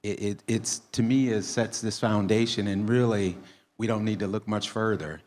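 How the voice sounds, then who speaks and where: A man from the United States speaking as a panelist, relaxed and easygoing with frequent ums. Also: on the low side, normal speed. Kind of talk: panel discussion.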